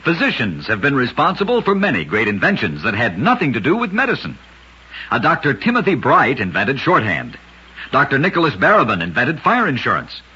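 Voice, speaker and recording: A man's voice: mid-range voice, huge pitch swings, brisk, pushed and bright, slightly rough. A man with an American accent reads a scripted vintage radio narration as host, with a dramatic storyteller delivery. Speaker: radio host and narrator of short true oddities. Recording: dull lo-fi vintage radio recording.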